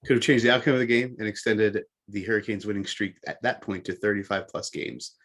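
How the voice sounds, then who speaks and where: Low-pitched man counting down sports picks. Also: a little fast, fairly bright tone. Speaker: sports podcast co-host. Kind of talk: casual sports countdown commentary.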